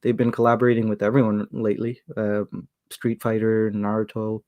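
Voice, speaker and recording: A man from Sri Lanka hosting a casual livestream, reading the chat aloud and talking in a halting way with many fillers and restarts. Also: fairly low pitch, completely flat delivery, lo-fi sound. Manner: hesitant, many fillers and restarts, chuckles often.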